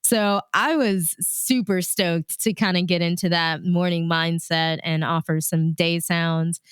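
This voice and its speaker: A woman chats casually as an interview guest. Her voice is a touch low and remarkably clear and resonant. The recording is dry and close, with very little room sound. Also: fairly even intonation, unhurried, dry recording. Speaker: radio DJ and show host, interview guest.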